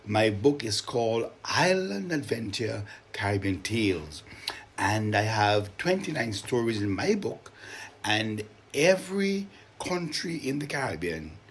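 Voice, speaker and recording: Animated Vincentian man telling children's stories. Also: fairly low pitch, a little hiss or hum. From Saint Vincent and the Grenadines. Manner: animated, expressive, deliberate reading with dramatic emphasis. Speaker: children's storyteller and author. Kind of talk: live storytelling to children.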